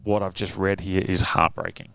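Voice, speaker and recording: Deep-voiced man, earnest rambling storyteller. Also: flat delivery, moderate pace, a bit bright, clean tone, no echo. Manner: earnest, rambling storyteller with many fillers and restarts. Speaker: singer-songwriter guest. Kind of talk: casual interview chat.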